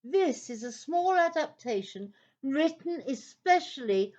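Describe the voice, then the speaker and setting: A woman playing a patient storyteller in a children's audio play, narrating in a deliberate, expressive, theatrical way. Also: high-pitched, dull audio. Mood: exasperated, teasing. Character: patient female storyteller, gently exasperated with her characters.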